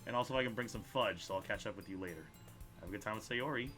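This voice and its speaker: Fast-talking man with a bright voice. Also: on the low side, soft background music. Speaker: let's play host. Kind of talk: video game playthrough, reading dialogue aloud with side comments.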